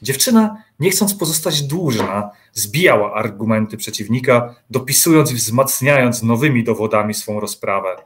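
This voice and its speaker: A man narrating a dark literary radio play in a measured storytelling manner. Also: medium pitch, animated, lightly acted. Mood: measured, atmospheric.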